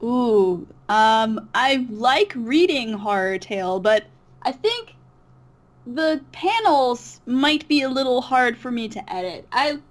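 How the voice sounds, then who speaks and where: A woman's voice: on the high side, lively intonation, slow. A woman chatting casually as an interview guest, with a giggly, hesitant way of talking. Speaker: interview guest, voice actor who makes comic dubs. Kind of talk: casual chat.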